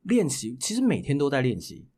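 A man from Taiwan, chatting casually as an interview guest and explaining things with clear articulation. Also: mid-range voice, huge pitch swings, brisk, mellow. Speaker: guest, voice actor.

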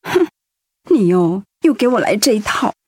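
A woman's voice: mid-range voice, sing-song, normal speed, darkish tone, slightly rough, no room sound, studio quality. A woman acting a caring, polite middle-aged pastor's wife in a conversational radio drama style. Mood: fussing, chiding.

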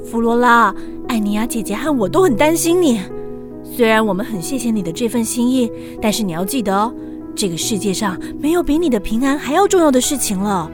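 Woman as gentle older sister, high young voice, anxious, protective, earnest, children's radio drama, music behind. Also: a little fast, natural voice. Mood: gentle concern. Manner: earnest, caring, expressive delivery.

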